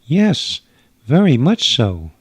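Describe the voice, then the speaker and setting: A gracious, anxious alien mother played by a man for a radio sci-fi tale. The voice is lifted well up in pitch, formal and gently pleading, in a dry, close sound. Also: wildly swooping pitch, slow pace, slightly rough, dry recording, full character voice. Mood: earnest. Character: polite, worried alien mother with four arms. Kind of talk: old-time radio sci-fi storytelling.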